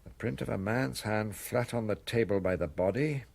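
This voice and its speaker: An actor playing a brisk, probing police inspector in a radio play, thinking aloud in clipped phrases. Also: male voice, on the low side, vocal fry. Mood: musing, wry.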